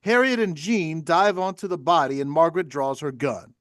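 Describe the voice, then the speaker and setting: A man's voice: fairly high pitch, normal speed, fairly bright tone. A man reading stage directions as scripted narration at a table read.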